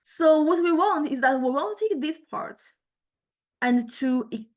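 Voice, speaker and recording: Higher-pitched woman lecturing, halting and hesitant. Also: lively intonation. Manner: hesitant, halting, often repeating words. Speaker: music technology lecturer. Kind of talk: informal software demonstration lecture.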